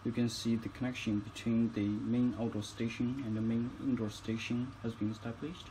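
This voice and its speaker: A man's voice: medium pitch, level tone, moderate pace, mellow, slight background noise, poor audio. A man giving instructional tutorial narration as a product demonstrator, phrasing each step carefully.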